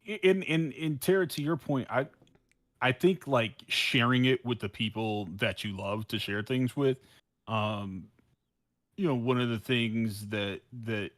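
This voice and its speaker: A man's voice: mid-range voice, unhurried, dry recording. A man co-hosting a podcast, talking casually with slang, swearing and a playful way about him. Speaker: podcast co-host. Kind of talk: casual chat.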